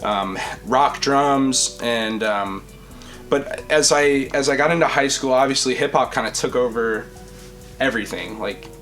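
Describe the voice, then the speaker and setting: A young man talks in a loose, rambling way, cursing often, with a deep voice that carries. His pitch sits in the middle for a man. Music plays behind him the whole time. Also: a little slow, a bit bright. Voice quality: deep projecting voice. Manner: rambling, swears freely. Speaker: vocalist and podcast host. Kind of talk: casual chat.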